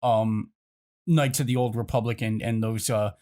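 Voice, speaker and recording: A man's voice: fairly low pitch, fairly even intonation, moderate pace, a little mellow. A man co-hosting a podcast in casual chat, wry and wordy. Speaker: podcast co-host.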